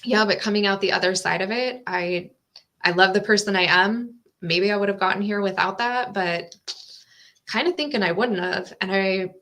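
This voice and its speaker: A woman's voice: medium pitch, moderate pace, bright, forceful tone. A woman guest on an interview podcast, a coach, talking in an earnest, reflective, explanatory way. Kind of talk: casual interview chat.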